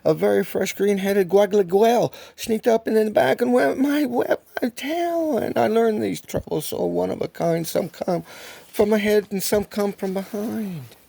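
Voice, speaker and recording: An older man reading a children's story like a grandfather, animated and rambling, repeating phrases and ad-libbing, with a gravelly, husky voice. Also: sits high, measured pace, no room sound.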